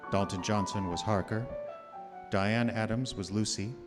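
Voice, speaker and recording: A man's voice: lowish voice, fairly even intonation, a little slow, music in the background. A man announcing the credits of a live radio theatre show from the stage.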